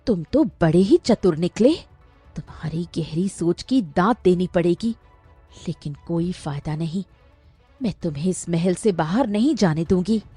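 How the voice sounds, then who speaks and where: A woman voicing a cunning, vengeful, ageless sorceress princess in an animated fairy tale. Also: on the low side, unhurried, slightly rough, no echo, faint music behind. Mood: menacing, defiant.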